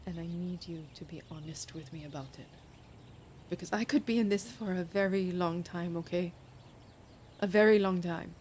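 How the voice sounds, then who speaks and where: An actress playing a heartbroken, anxious young woman in an audio drama, speaking intimately and deliberately, as if confessing. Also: on the low side, a little mellow, a little hiss or hum, close to an everyday voice. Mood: pleading. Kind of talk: audio drama monologue and dialogue.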